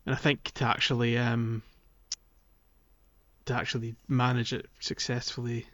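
Man, medium pitch, informal podcast host, conversational gaming talk, muffled lo-fi recording. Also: level tone, moderate pace, a bit bright. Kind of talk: casual gaming podcast chat. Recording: dull, lo-fi voice recording.

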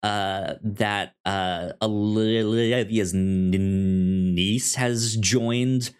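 Halting, slow-paced man co-host. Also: on the low side, fairly even intonation, clean tone. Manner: halting, stammering delivery. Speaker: podcast co-host. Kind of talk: casual TV-show discussion.

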